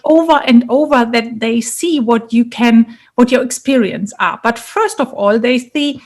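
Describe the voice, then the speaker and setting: A woman's voice: a little high, a little slow, a little mellow. A woman from Germany with a German accent, answering in a conversational interview and often checking for agreement as she searches for phrasing. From Germany, Munich. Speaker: interview guest, leadership coach and businesswoman.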